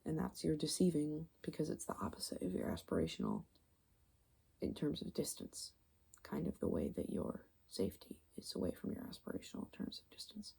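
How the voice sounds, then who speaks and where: A woman speaking softly in a rambling, casual ASMR style, musing and halting mid-thought, often slipping into a whisper. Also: deep voice, wildly swooping pitch. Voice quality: very creaky vocal fry. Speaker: ASMR video creator.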